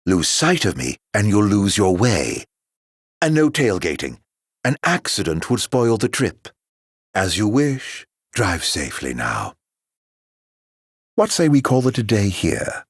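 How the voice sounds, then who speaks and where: An actor voicing a sly, mock-courteous villain in a video game, with a florid, teasing, theatrical drawl. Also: male voice, fairly low pitch, very animated, a little slow, breathy edge, dry recording, studio quality, full character voice. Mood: amused, teasing.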